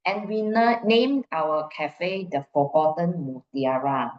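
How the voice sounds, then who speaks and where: Malaysian woman giving a talk, low voice, repeats points for emphasis, echoey muffled sound. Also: slow. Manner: repeats phrases for emphasis, checks in with "right?". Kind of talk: conference presentation, informal and explanatory.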